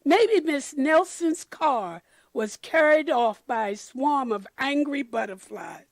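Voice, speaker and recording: Storybook schoolchild, played by a woman: high, worried, gossipy, deliberate pacing. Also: animated, no echo, heavily acted. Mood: eager.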